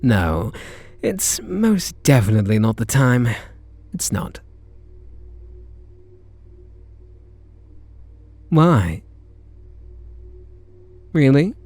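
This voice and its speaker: A British man playing a charming, teasing kidnapper who is softening toward his captive, in an intimate ASMR roleplay. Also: medium pitch, very animated, takes it slowly, pauses often, no room sound, full character voice. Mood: wistful. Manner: teasing, flirtatious, murmuring pet names.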